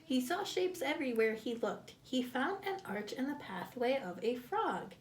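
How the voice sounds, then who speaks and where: A woman reading a picture book aloud to children, expressively and with deliberate phrasing, as a storyteller. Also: high voice, sing-song, a little hiss or hum.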